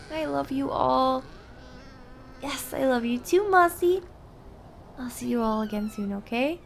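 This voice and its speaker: A woman acting an affectionate, playful young girlfriend in an intimate audio roleplay, speaking softly and chattily. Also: a little high, creaky, slight background noise. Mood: reassuring, affectionate. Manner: soft, tender, chatty with teasing asides.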